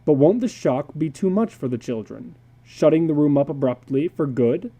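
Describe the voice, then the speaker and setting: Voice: mid-range voice, animated, moderate pace, mellow, highs cut off. A reader voicing a worried, defensive father in a dramatic story reading, with an earnest, questioning manner.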